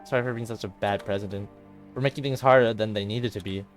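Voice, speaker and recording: A despairing teenage girl, a club president weighed down by guilt acted by a man. The voice is quiet and hesitant over music, in the style of visual novel drama. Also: on the low side, steady tone, fast, close to an everyday voice. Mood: remorseful. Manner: subdued, hesitant, self-blaming.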